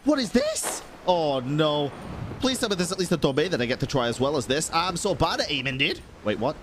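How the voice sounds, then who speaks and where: Animated, fairly high-voiced male game streamer. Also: a little fast, slight background noise. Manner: expressive, slangy, sweary. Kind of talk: casual live gaming commentary. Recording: game music behind the voice.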